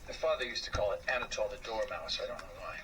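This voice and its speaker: A man reading a children's story aloud as host, with an expressive, theatrical, drawn-out delivery. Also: lowish voice. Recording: slightly distant mic, faint noise.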